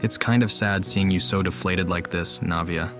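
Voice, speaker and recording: A woman voicing a composed, steady female ally in a game, low and reassuring. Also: moderate pace. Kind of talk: game voice acting. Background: game music.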